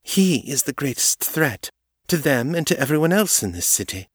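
A man's voice: a little high, sing-song, moderate pace, husky, dry recording, voice pushed up. Man voicing a cool female vampire noble, formal, patronizing, raised voice, dry sound. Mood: condescending. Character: haughty aristocratic female vampire, cool and condescending.

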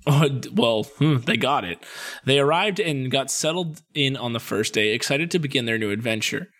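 A man's voice: medium pitch, animated, moderate pace, a bit bright. A young American man with a mid-range voice co-hosts a podcast. He tells stories casually, sliding between scripted narration and slangy, jokey asides. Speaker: podcast co-host. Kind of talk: casual comedic storytelling, reading a script with asides.

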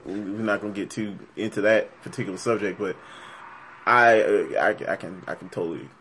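A man's voice: lowish voice, brisk, a crowd in the background, poor audio. A man chatting casually, making playful joking asides. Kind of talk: casual chat.

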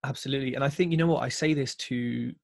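A man's voice: medium pitch, quick pace, vocal fry, no room sound. A British man talking casually as a podcast guest, in a chatty, emphatic way. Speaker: podcast host interviewed as guest. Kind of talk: casual conversational interview.

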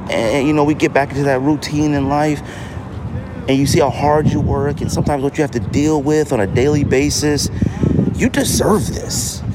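A man's voice: medium pitch, noisy background. A middle-aged American man talking casually and earnestly as a solo podcast host, repeating phrases for emphasis. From Inglewood, California, United States.

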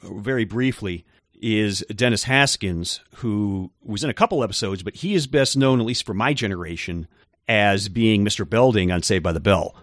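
Brief: male co-host, lowish voice, measured explainer, dry close sound. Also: no echo. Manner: measured, explanatory, some hesitant fillers. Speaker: podcast co-host. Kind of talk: casual chat.

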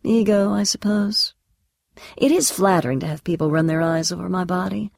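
A woman's voice: on the low side, normal speed, gravelly, a little mellow, no echo, clean studio sound. A woman playing a vain, musing character in a short audio drama. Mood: smug musing.

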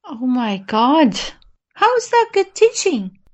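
Higher-voiced woman chatting expressively. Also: sing-song, slow, a little mellow. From Brazil. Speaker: podcast co-host. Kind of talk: casual conversational chat.

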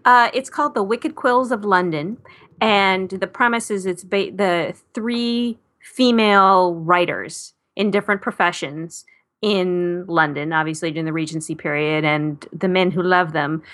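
Lower-pitched woman, casual author interview. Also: fairly bright tone. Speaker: interviewed romance novelist.

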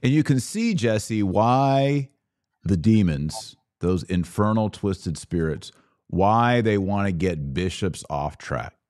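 A deep-voiced man hosts a podcast in a measured, steady way as he guides the talk. The recording is dry and close. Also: no room sound. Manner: measured, steering the discussion. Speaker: podcast host.

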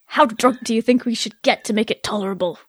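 A woman's voice: fairly high pitch, quick pace, no echo, clean studio sound, natural voice. A woman voicing a drunk, party-averse fantasy character in a dodgy put-on British accent, rambling in an amateur fan-fiction read-aloud. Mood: grumbling.

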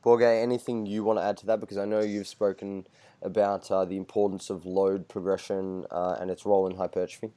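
A man's voice: sits low, little pitch movement, brisk, dark tone. A man with an Australian accent hosting a casual podcast, asking questions with some hesitant fillers. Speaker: host.

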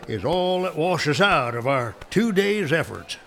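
An actor playing a folksy, good-natured Old West prospector with an American Western accent and a rustic drawl. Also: male voice, a little high, very animated, a little slow, fairly bright tone, exaggerated voice. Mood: wry. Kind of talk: radio drama acting.

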